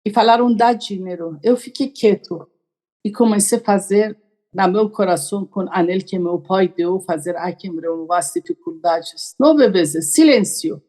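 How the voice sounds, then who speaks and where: A woman's voice: medium pitch, normal speed, dark tone. A woman, a podcast guest who tells her story earnestly in simple, broken phrases. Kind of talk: conversational storytelling.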